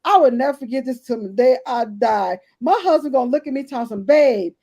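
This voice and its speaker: A woman's voice: fairly high pitch, brisk, warm tone. A woman in her late fifties candidly telling her own health story in a casual chat, speaking straight to the women listening. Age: 50s. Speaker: podcast co-host.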